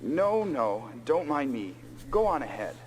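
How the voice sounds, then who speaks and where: A man's voice: mid-range voice, big pitch swings, a little slow, slight background noise, low-quality recording. An actor playing a big, easygoing male soldier pilot in an anime-style English video game dub.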